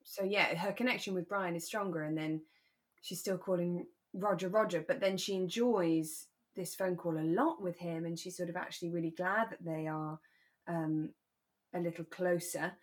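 British female podcast co-host, slightly low voice, relaxed and reflective conversational delivery. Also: normal speed. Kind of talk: relaxed discussion of a radio drama.

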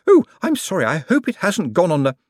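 A man voicing a nervous, genteel middle-aged woman who stammers eagerly in a high, fluttery voice. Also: huge pitch swings, moderate pace, no room sound, clean studio sound, heavily acted. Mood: anxious. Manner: fluttery, hesitant, high and breathless.

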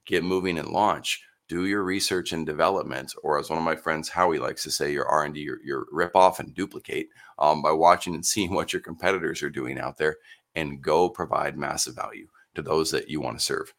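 A man hosting a live business podcast, hoarse from losing his voice, rambling and upbeat through his intro and sponsor mentions. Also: extremely low pitch, fairly even intonation, a little fast, a bit bright. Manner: rambling, upbeat, self-deprecating. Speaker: podcast host.

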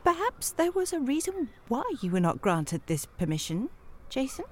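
Actress as a formal interviewer, animated intonation. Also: female voice, high-pitched, normal speed. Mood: brisk, impatient. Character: formal, strained, patient female job interviewer. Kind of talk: comic audio play acting.

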